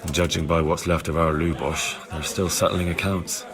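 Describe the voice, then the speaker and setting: A man's voice: low-pitched, steady tone, moderate pace, crowd noise. An actor playing a dogged young medieval village man in a video game, plain-spoken, earnest and insistent. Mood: sombre. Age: young adult. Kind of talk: video game cutscene acting.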